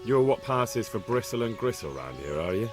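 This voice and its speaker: An actor playing a determined young man questioning suspects in a video game, direct and quick to threaten. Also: mid-range voice, big pitch swings, over music, close to an everyday voice. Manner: direct, threatening when pushed. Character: blunt determined young man investigating an ambush.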